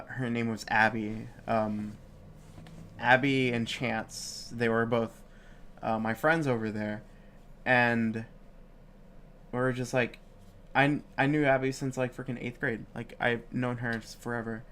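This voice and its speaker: A man's voice: mid-range voice, a bit bright, smooth. A young man co-hosting a podcast in casual chat, sniffly from a cold, rambling with lots of fillers and swearing. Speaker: podcast co-host. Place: home studio, live-streamed.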